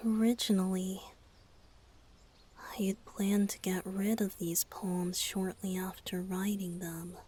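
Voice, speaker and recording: A woman voicing a shy, flustered boyish lover in an intimate ASMR romantic roleplay, speaking softly and breathily with hesitant, halting phrasing. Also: medium pitch, little pitch movement, slight background noise, natural voice. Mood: embarrassed, soft. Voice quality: soft, breathy, often whispered.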